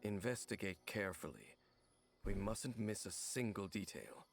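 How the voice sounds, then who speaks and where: An actor playing a solemn, mysterious male wanderer in an anime video game, speaking in a grave, formal, measured way. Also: on the low side, big pitch swings, vocal fry, over music. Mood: stern, observant. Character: solemn, stoic, mysterious male wanderer haunted by old memories.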